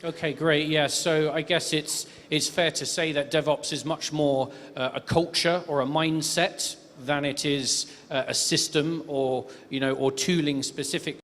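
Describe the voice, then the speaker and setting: A man's voice: a little high, fairly even intonation, measured pace, few pauses, fairly bright tone, slight background noise. A man moderating a tech conference panel in a chatty, hedging way with lots of fillers. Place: conference stage. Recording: reverberant conference hall.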